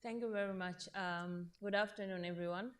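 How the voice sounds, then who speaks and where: A woman's voice: mid-range voice, steady tone, normal speed, clean tone. A woman, a veteran teacher speaking earnestly on a conference panel, with many fillers and self-corrections. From Zanzibar, Tanzania. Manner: earnest, addresses audience directly, frequent fillers and self-corrections. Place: conference stage in Turkey.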